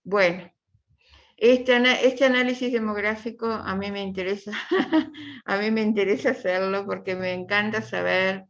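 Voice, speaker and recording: A woman's voice: fairly even intonation, moderate pace. A middle-aged woman with a Uruguayan accent, a university professor chatting informally with her class in a rambling way and repeating her phrases. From Uruguay, from Tacuarembó. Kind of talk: informal online class introduction. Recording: muffled low-fidelity class recording.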